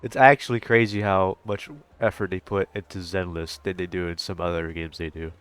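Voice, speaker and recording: Rambling low-voiced man reacting to a game. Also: steady tone, a little fast, fairly bright tone. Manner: rambling, hesitant, swears casually. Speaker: gaming streamer reacting to a story quest. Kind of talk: casual reaction commentary.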